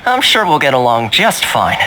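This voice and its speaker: A man playing an easygoing, courteous young trader in a video game, friendly and smooth-talking. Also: medium pitch, huge pitch swings, moderate pace, background noise. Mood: warm, welcoming.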